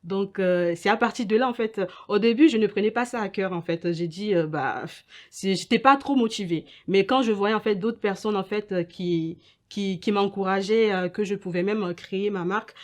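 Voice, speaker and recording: A young woman from Ghana and Togo giving halting interview answers as an entrepreneur, often repeating her words. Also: medium pitch, a little mellow. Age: young adult. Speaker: entrepreneur and student being interviewed. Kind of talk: interview answers about own business.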